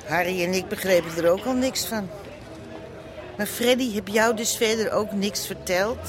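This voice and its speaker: A woman's voice: mid-range voice, moderate pace, crowd noise, natural voice. An actress playing a worried, chatty middle-aged mother in a radio drama. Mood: worried, probing. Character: worried, chatty mother of a stubborn grown son. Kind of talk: naturalistic radio drama dialogue. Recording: busy outdoor street noise behind.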